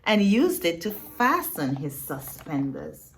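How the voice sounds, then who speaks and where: A woman reading a picture book aloud to young children, expressive and teacherly, pausing for emphasis and asking her listeners questions. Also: on the low side, a little hiss or hum.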